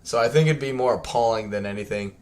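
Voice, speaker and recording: A man's voice: medium pitch, fast talker, darkish tone. A young man streaming a strategy game, chatting loosely with many ums and restarts, his voice scratchy from a long day. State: scratchy tired voice. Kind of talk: casual gameplay livestream chat.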